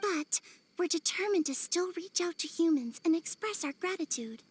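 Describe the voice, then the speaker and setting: A woman's voice: very high-pitched, expressive, normal speed. A woman voicing a small yokai creature in a video game, modest, loyal and earnest. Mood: humble, sincere.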